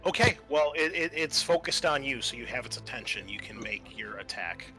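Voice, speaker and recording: A man acting as game master for a tabletop role-playing game, explaining the action and rules in a halting way. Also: medium pitch, expressive, sharp tone, faint music behind.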